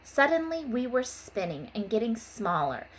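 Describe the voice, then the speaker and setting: A woman's voice: fairly high pitch, sing-song, moderate pace. Woman teacher, noisy recording. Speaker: third grade teacher. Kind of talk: informal recorded lesson and children's story read-aloud.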